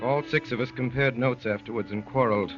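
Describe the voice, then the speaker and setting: A man's voice: mid-range voice, brisk, lightly acted. A man acting as an adventurer who narrates a wild chase in theatrical, declamatory 1930s radio drama style. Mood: hushed, wondering. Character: male adventurer recounting a wild chase, urgent, amazed. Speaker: narrator and lead actor. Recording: dull 1930s radio recording, music behind.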